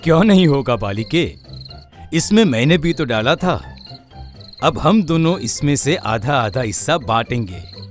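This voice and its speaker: A man's voice: medium pitch, sing-song, soft background music. A man playing a sly, greedy fake swami in a cartoon moral story, speaking in grand, theatrical pronouncements. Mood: pleased, scheming. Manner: slow, theatrical, pompous pronouncements.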